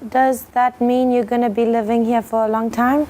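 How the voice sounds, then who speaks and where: A woman's voice: a little high, level tone, normal speed. A woman co-hosting a talk show with casual, playful, teasing banter. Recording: loud music over the voice.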